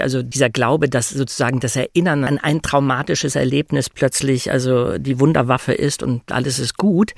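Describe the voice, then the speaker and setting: Male guest commentator, medium pitch, reflective and conversational, emphatic on key words, recorded dry. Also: gravelly, no room sound. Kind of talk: conversational film analysis.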